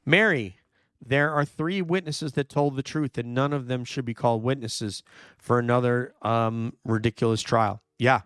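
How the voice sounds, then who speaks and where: A hoarse man, nearly losing his voice, hosting a true crime livestream, talking emphatically and answering viewer chat. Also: mid-range voice, steady tone, moderate pace, fairly bright tone, no room sound, studio quality. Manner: emphatic, repeats "no question", thinks aloud with fillers. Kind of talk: live commentary reading viewer chat.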